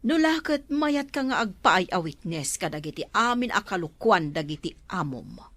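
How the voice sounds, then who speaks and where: An actress playing a stern, protective middle-aged aunt in a radio drama, speaking with authority and an Ilocano accent. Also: female voice, fairly low pitch, sing-song, normal speed, a bit bright, no room sound. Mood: interrogating. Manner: firm, authoritative.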